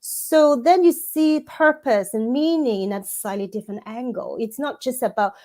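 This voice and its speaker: A woman's voice: on the high side, normal speed, dark tone. A woman, a coach giving thoughtful interview answers that she builds up in lists, with frequent restarts. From Hong Kong. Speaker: guest, women's coach and author.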